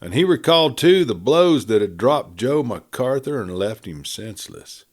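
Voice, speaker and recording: A man reading a western story aloud in a dramatic, folksy, expressive storytelling manner. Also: mid-range voice, moderate pace. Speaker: audiobook reader.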